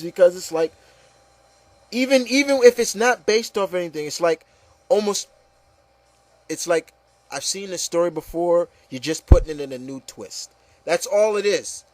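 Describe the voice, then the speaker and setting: A man's voice: high-pitched, darkish tone, no echo. A man hosting a casual opinion talk, ranting emphatically and often repeating himself with okay and you know. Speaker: host. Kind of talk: casual opinion rant with co-hosts.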